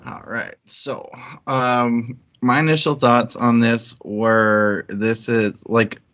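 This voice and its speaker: A man's voice: medium pitch, level tone, slow pace, fairly bright tone, clear, resonant tone. A man co-hosting a book discussion stream, talking informally and thinking aloud as he goes. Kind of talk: casual discussion with some read-out intro.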